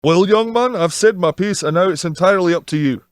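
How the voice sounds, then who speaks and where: A man voicing a crafty, smooth-talking Scottish sea captain in an audio storybook, with wheedling salesman's patter. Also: high voice, a little fast, no room sound, clean studio sound, voice pushed up, natural voice. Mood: firm. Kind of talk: audiobook character dialogue.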